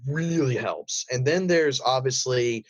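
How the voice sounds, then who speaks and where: A man speaking as a podcast guest, telling stories about creative work in a casual interview style with an animated manner. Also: medium pitch, unhurried, no room sound. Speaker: podcast guest, voice actor and audio drama creator. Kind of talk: casual interview chat, storytelling.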